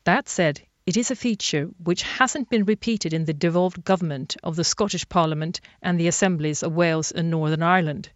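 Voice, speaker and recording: A woman presenting a radio feature in a measured, reflective scripted narration. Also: on the low side, no echo. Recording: dry, slightly dull studio sound.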